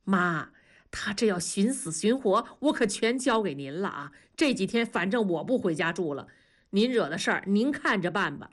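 A woman voicing an evasive husband who hands the problem off in a hushed, hurried way. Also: medium pitch, animated, lightly acted. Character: evasive husband slipping away.

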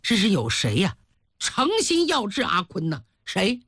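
A woman's voice: mid-range voice, huge pitch swings, measured pace, fairly bright tone, slightly rough, no room sound, clean studio sound, pitched below the performer's own voice, heavily acted. Woman acting a stern, hot-tempered noble mother. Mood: angry. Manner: commanding, interrogating.

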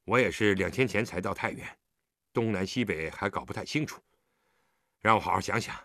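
男声演绎的沉稳护短的成年男子. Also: male voice, fairly low pitch, brisk, vocal fry, bright, forceful tone, no echo, clean studio sound, pitched below the performer's own voice, full character voice. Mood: caring, worried. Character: kind, protective grown man, teasing yet firm.

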